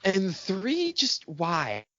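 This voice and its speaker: A man's voice: sits high, wildly swooping pitch, drawn-out words, fairly bright tone, dull audio. A man co-hosting a podcast in casual film banter, sarcastic and profane, mockingly acting out lines. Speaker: podcast co-host.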